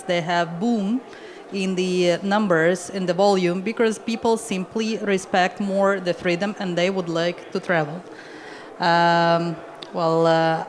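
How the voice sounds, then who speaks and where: Russian-accented woman panelist, lowish voice, halting speech with fillers, faint noise behind. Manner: hesitant, many fillers and restarts. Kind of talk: panel discussion answer. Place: conference hall.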